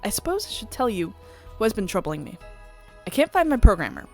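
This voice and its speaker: A woman's voice: medium pitch, very animated, fairly quick, vocal fry, a little mellow. A prim and earnest virtual pop idol, voiced by a woman reading a visual novel, speaks with careful courtesy and stilted formality. Her mid-range voice sits over background music. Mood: troubled, earnest. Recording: music playing behind the voice.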